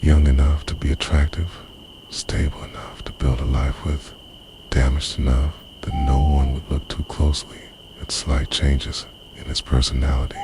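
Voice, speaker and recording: A man playing a possessive, menacing immortal lover in an intimate, slow, breathy ASMR roleplay monologue. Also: background noise, exaggerated voice. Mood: cold, calculating. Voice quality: very deep, breathy whisper.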